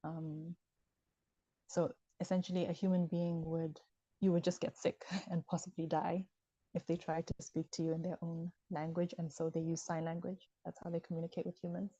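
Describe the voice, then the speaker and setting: A woman talks through her ideas in a calm, measured interview. Her voice sits low and mellow, with little rise and fall. The audio is dull and lo-fi with a dry, close sound. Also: husky, no room sound. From Ghana. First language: Ewe. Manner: measured, explanatory, thinks aloud. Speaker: author discussing her work. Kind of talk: conversational interview.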